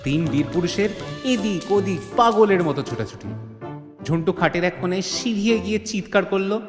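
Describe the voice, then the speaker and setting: A man's voice: high voice, expressive, moderate pace. A man narrating a comedy-mystery story in a theatrical, suspenseful way, with touches of mock alarm. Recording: long hall reverb, music bed.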